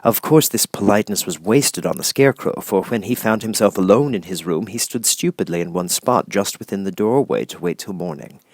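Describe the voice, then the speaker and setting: A man reading scripted storybook narration as an audiobook narrator, in measured, clear phrases. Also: lowish voice, no echo.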